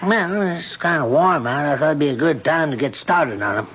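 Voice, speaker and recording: A man's voice: on the high side, animated, moderate pace, fairly bright tone. An actor playing an easygoing, deferential handyman with folksy, hesitant speech in an old-time radio mystery. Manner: casual, folksy, hesitant phrasing. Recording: muffled noisy old radio broadcast.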